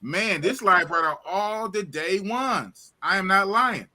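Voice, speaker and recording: A man in his fifties with a bright, ringing voice and a slightly higher pitch than most men. He hosts a casual live stream, animated and repetitive, hyping up his viewers as he goes. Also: takes it slowly. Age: 50s. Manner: animated, shouts out viewers, repeats himself. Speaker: host of a live talk stream. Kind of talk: casual livestream chat.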